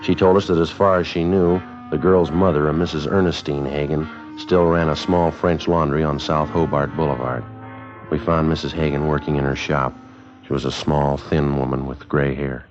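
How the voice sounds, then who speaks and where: Very deep, deadpan police detective. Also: male voice, moderate pace. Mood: matter-of-fact. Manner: terse, deadpan, matter-of-fact. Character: stoic, businesslike male police detective narrating his case. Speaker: narrator and lead actor. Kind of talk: 1950s radio crime drama, clipped scripted narration. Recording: dull vintage radio recording, music behind.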